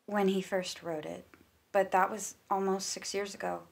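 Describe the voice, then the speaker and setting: An actress playing a guarded, frustrated sister in a stage drama. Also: female voice, medium pitch, little pitch movement, normal speed, vocal fry, natural voice. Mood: brooding, subdued. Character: guarded, frustrated older sister of an ambitious student. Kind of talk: stage-play dialogue acting. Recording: reverberant hall sound.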